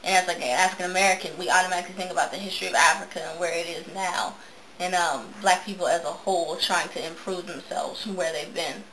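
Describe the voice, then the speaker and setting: A woman's voice: on the low side, steady tone, fast talker, pushed and bright, a little hiss or hum, poor audio. A young woman talking casually and musingly about her thoughts, full of fillers, in a bedroom. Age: young adult. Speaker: student interviewee. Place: bedroom indoors.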